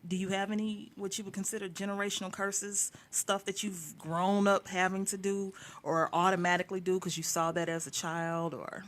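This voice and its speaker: A woman's voice: mid-range voice, little pitch movement, normal speed, hardly any pauses. A woman co-hosting a casual podcast, talking frankly and leading the questions. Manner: frank, conversational, leads the questions. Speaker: podcast co-host.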